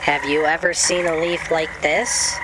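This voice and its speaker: A woman voicing an eager, polite skeleton detective reporter in a children's radio drama, deliberate and theatrical. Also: sits low, level tone, heavy background noise. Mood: curious.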